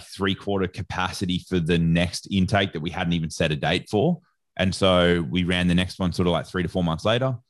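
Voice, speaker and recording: A man's voice: low-pitched, no echo, clean studio sound. A man with an Australian accent telling a story casually as an interview guest, in slangy, informal speech full of reported dialogue. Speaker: interview guest, sports nutrition educator.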